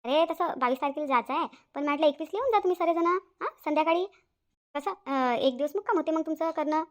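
Woman playing a very fast-talking, high-voiced gossipy elder sister. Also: lightly acted. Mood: chatty. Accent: Varhadi Marathi from Vidarbha. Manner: gossipy, repetitive, complaining. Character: chatty, aggrieved married elder sister on the phone. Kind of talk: Marathi cartoon family-drama dialogue.

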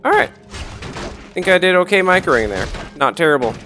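A man's voice: high voice, very animated, moderate pace, sharp tone. A middle-aged man giving casual, offhand, sweary commentary as he talks to himself playing a video game. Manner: sweary, offhand, self-talking. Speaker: gaming video host. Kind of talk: casual let's play commentary. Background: game music and battle sounds.

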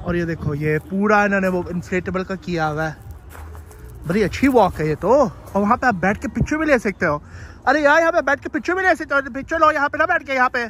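Excited young man filming on a farm. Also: high voice, fairly quick, slight background noise. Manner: excited, chatty, hurrying others along. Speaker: vlogger. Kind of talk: casual walking vlog. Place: outdoor farm. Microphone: handheld phone camera.

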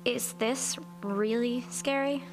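A woman's voice: on the high side, takes it slowly, music in the background. A woman playing a timid, sheltered ten-year-old girl in a radio drama, hesitant and earnest. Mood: nervous. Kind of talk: radio horror drama acting.

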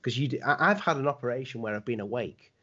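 Man, thirties, host, mid-pitched voice, chatty rambling questions, video call from home, muffled lo-fi sound. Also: lively intonation, quick pace, bright, forceful tone. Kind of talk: casual interview over video call. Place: home room indoors. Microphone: webcam on video call. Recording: dull lo-fi video call audio.

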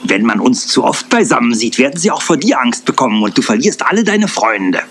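Old owl uncle, hungry and sly yet fatherly, lively swooping voice, cartoon dub. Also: medium pitch, a little fast, slight background noise. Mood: earnest, fatherly. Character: old male eagle owl, hungry, gruff but kindly uncle. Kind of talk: German dub of a 1980s cartoon series.

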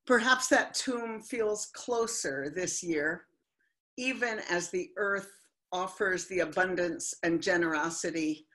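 Older lady preaching slow and thoughtful from home, webcam sound. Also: lowish voice, a bit bright. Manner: slow, reflective, heartfelt delivery. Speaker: minister. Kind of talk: sermon. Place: home living room. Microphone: webcam on video call.

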